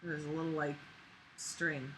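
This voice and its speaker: A woman's voice: sits low, little pitch movement, a little slow, a bit bright, slight background noise, rough recording. A woman reading aloud with casual, sarcastic, exasperated running commentary and swearing.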